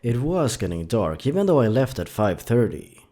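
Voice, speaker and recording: A man narrating scripted creepy stories in a dramatic, suspenseful, theatrical way with drawn-out pauses. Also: mid-range voice, big pitch swings, warm tone. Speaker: horror story narrator and channel host.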